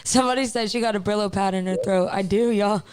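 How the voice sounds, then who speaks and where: A woman singer with a raspy voice, chatting loosely as a guest on a radio show. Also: mid-range voice, steady tone, quick pace, darkish tone. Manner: laughing, loose and unguarded. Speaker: musician guest. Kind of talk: casual radio chat.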